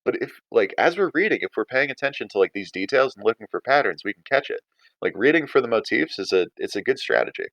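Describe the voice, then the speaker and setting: A man's voice: mid-range voice, expressive, quick pace, fairly bright tone, no room sound, poor audio. A man teaching a writing lesson in an informal, conversational way, thinking aloud as he explains. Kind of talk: casual writing lesson and story read-aloud.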